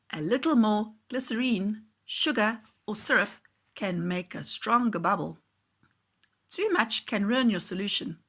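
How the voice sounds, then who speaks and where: Animated, slow-paced woman reading a storybook. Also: medium pitch. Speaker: nursery school teacher reading a story. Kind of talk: expressive children's storybook reading.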